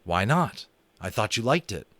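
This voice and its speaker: A man voicing an exasperated young boy with a sharp, scolding way of talking. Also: lowish voice, sing-song, moderate pace, dry recording. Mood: coaxing. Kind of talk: audiobook character voice.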